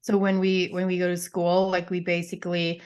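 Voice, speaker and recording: A woman's voice: lowish voice, completely flat delivery, moderate pace, a little mellow, clear, resonant tone. A young woman with a Pennsylvania Dutch accent, her first language, telling her life story as a podcast guest in a chatty way, full of fillers and restarts. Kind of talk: casual interview storytelling.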